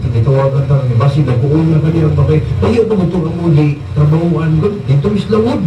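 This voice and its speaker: A man's voice: fairly high pitch, a little fast, dull audio, lightly acted. A man with a Visayan Filipino accent playing an affectionate, earnest young seafaring boyfriend in a radio drama. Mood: tender, earnest. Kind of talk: radio drama dialogue and narration. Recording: echoey, distant, music behind.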